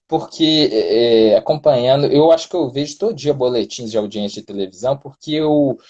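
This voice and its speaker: Brazilian lawyer guest on a phone live stream; dark, slightly husky voice; wordy, jokey, self-mocking. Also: male voice, mid-range voice, brisk, lo-fi sound. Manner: self-deprecating, joking, wordy. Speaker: criminal defense lawyer, guest. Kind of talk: casual live-stream interview.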